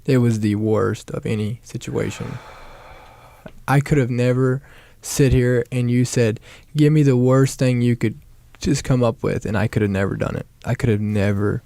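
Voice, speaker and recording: Male veteran interviewee, gravelly fry, dry sound, emphatic and repetitive while recounting battle. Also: medium pitch, fairly even intonation, normal speed, mellow, no echo. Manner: emphatic, repeats himself. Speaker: war veteran being interviewed. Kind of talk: recounting combat in a podcast interview.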